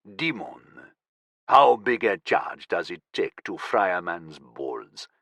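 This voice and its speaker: A cold, threatening militant leader, as a male narrator puts him on, speaking slowly in a deep, dangerous murmur. Each word is measured and mocking, close and dry on the mic. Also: very animated, fairly bright tone, no echo, exaggerated voice. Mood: menacing. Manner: slow, low and dangerous.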